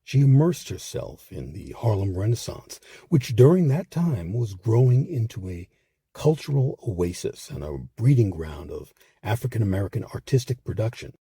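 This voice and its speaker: A man narrates scripted history in a deep, mellow voice with a heavy rasp. He phrases deliberately and dramatically, pausing between short clauses as a host telling a serious story. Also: big pitch swings, no room sound.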